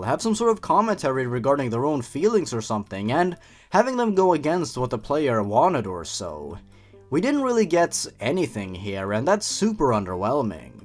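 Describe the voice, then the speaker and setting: A man hosting a review show, giving scripted, opinionated commentary with sarcastic asides. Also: medium pitch. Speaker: host of a horror story review channel. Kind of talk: scripted review commentary with story read aloud.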